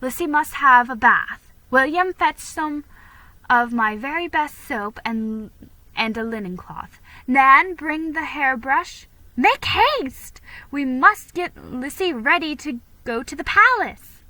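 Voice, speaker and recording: A woman voicing an excitable, bustling colonial mother, exclamatory and urgent, in a dramatic storybook reading. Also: sits high, slow pace, dry recording, pitched above the performer's own voice, heavily acted, poor audio. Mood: bustling, excited.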